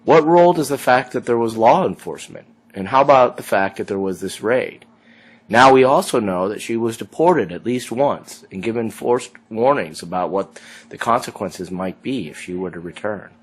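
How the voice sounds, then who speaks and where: A male instructor with a low, husky voice poses question after question to his students. He talks through the case in a calm lecturing tone, prompting them to weigh each detail. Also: normal speed, rough recording. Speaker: law instructor. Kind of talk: lecture commentary.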